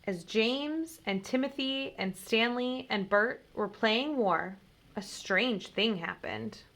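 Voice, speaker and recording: A woman reading a children's story aloud in an expressive, deliberate way, like a teacher. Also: on the high side, slight background noise.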